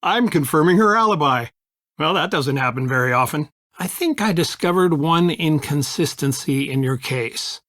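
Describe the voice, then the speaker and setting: A man voicing a middle-aged American lawyer father in a video game, analytical and supportive in a measured way. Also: on the high side, animated, a bit bright, dry recording, clean studio sound. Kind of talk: video game dialogue acting.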